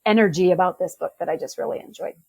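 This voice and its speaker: A woman's voice: fairly low pitch, fast talker, gravelly, a little mellow, husky. A middle-aged American woman chatting casually about books as a podcast guest. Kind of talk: casual book chat.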